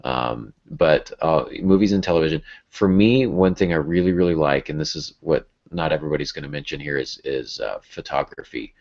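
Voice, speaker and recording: Very deep-voiced man on a casual podcast. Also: fairly quick, hardly any pauses, darkish tone. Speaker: podcast host and writing teacher. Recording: dull lo-fi podcast audio.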